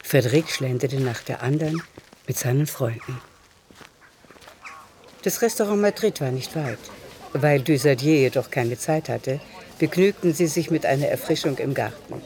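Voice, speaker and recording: A man's voice: fairly high pitch, a little mellow. A man narrating a literary radio drama from a script.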